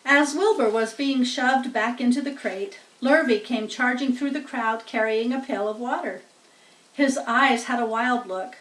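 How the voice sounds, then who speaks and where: High-voiced woman reading a storybook slowly. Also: a bit bright. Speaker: storybook reader. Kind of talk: expressive read-aloud for children. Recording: roomy echo, distant microphone.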